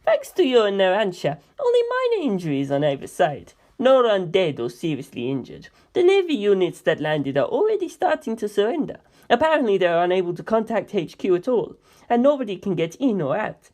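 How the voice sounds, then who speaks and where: A woman voicing a composed, dryly evasive male mafia member in a measured, matter-of-fact way for a story reading. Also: mid-range voice, huge pitch swings, a little mellow.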